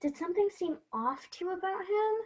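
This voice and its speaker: A woman's voice: very high-pitched, lively intonation, brisk, a little mellow. A woman playing Sam, an earnest, curious little girl asking questions, in an amateur stage play. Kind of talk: amateur theatre acting.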